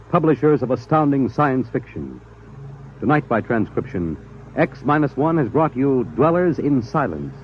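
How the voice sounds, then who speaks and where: A man delivers scripted radio credits with a formal, lively, widely inflected voice. The vintage broadcast is muffled, with faint noise behind him. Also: mid-range voice. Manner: formal, projected announcer delivery. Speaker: radio announcer.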